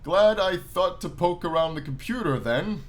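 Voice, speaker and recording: A man voicing a smooth, self-assured, flirty female animatronic bear manager in a visual novel. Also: sits high, lively intonation, moderate pace. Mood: assured, inviting.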